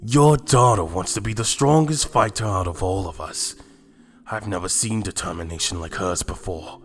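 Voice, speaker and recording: A man's voice: deep voice, normal speed, faint music behind, close to an everyday voice. A man playing a proud, blunt alien warrior in a fan-made anime drama, challenging with pointed questions. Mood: scornful.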